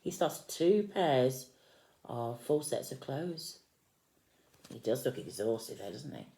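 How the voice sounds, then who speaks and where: Woman, very deep voice, teacher-style storybook reader for young children, expressive delivery, roomy echoey sound. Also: takes it slowly, vocal fry. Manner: expressive, explaining gently to children. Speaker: teacher reading a picture book to young children. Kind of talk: animated storybook read-aloud with explanatory asides.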